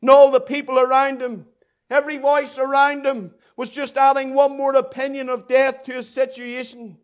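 High-pitched man preaching an emphatic sermon. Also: flat delivery, smooth. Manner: emphatic, repetitive, dramatic delivery with drawn-out phrases. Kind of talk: impassioned evangelistic sermon.

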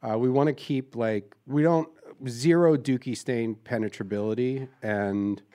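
Deadpan man teasing on a prank call. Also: mid-range voice, a little slow. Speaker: comedian.

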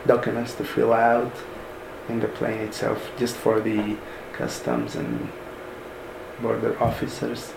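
A man in his thirties from Sudan, softly recalling his move abroad in an unhurried way with many pauses. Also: lowish voice, vocal fry. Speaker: interviewee. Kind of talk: casual personal recollection. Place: room in a mosque. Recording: echoey room with ambient noise.

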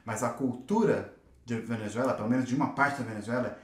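A male host keeps a casual interview rolling, reading viewer questions and reacting with curious, chatty interest. He speaks briskly at an ordinary pitch. Echo from the room hangs around his voice.

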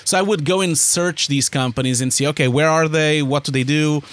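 A man, a podcast guest in casual interview chat who tells his story in an animated, rambling way with many restarts. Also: a little high, a little fast. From Portugal.

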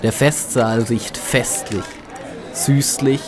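An actor playing an odd, dreamy man in a poetic German audio drama, speaking deliberately in short listed words. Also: medium pitch, fairly even intonation, music in the background, crowd noise, close to an everyday voice. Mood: dreamy, absorbed. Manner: slow, deliberate, listing word by word. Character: odd, dreamy man cataloguing every smell.

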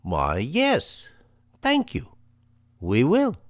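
An actor playing a cold, menacing killer with a smooth polite front in a 1940s-style radio thriller, sardonic and deliberate. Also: male voice, medium pitch, huge pitch swings, heavily acted. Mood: falsely polite.

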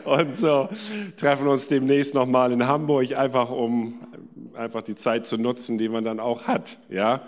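Male guest preacher in an echoey hall. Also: mid-range voice. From India. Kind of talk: sermon with personal anecdotes. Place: large church hall. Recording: echoey hall with long reverb.

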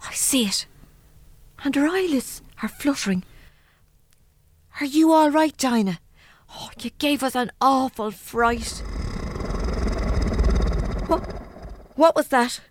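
A woman's voice: high voice, slow pace, pauses often, slight background noise. An actress playing a jumpy, blunt young Irish woman in a comedy audio drama. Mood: tense. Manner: nervy, blunt, salty. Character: jumpy, plain-spoken young Irish woman, easily spooked.

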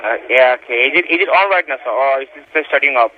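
A man's voice: mid-range voice, lively intonation, fast talker. A man giving repetitive, insistent step-by-step instructions as a tech support caller, addressing the listener as sir over a phone line. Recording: tinny phone line with background noise.

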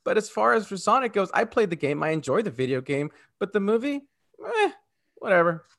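Male co-host, slightly high pitch, very lively intonation, casual and opinionated. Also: fairly quick, gravelly. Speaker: podcast co-host. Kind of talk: casual opinionated podcast chat.

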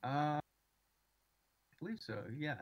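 A man's voice: fairly high pitch, very animated, slow pace, poor audio. A man from the USA chatting casually as a podcast guest and hobby builder. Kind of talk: casual chat.

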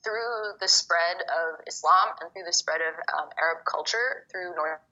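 A young woman from Egypt, a poet guest, talking casually and quickly with lots of "like" fillers. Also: fairly low pitch, sharp tone. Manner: fast, chatty, many fillers like "like". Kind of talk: casual conversation. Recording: muffled phone-like call audio.

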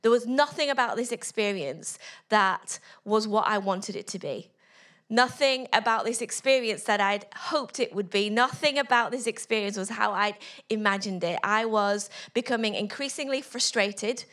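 A woman's voice: medium pitch, normal speed, a bit bright. A middle-aged woman giving an inspirational talk on stage to a live audience through a handheld mic, telling a story with frequent laughter. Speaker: conference speaker.

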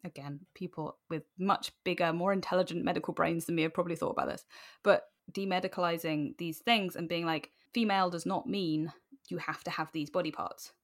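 A woman's voice: fairly low pitch, fairly even intonation, a little fast. A woman in her twenties with a British accent, talking casually as a podcast guest, rambling and acting out imagined remarks as they go. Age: 20s. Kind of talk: casual interview chat.

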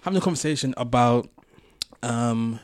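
A man's voice: mid-range voice, normal speed, no echo. A man co-hosting a casual podcast discussion, hesitantly thinking aloud as he talks. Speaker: podcast co-host.

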